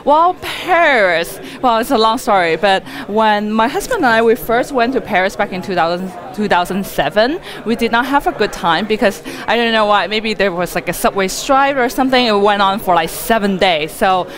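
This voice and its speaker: Female interviewee, medium pitch, informal and talkative, loosely strung sentences peppered with "like". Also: lively intonation, normal speed, hardly any pauses, fairly bright tone. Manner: rambling and chatty, frequent "like" as filler. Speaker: wedding photographer being interviewed. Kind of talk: conversational interview answers.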